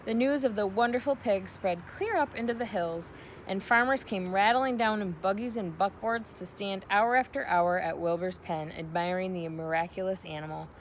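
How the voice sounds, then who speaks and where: A woman's voice: mid-range voice, normal speed, low-quality recording. Woman reading a storybook over noise. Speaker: read-aloud narrator. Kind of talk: storybook narration.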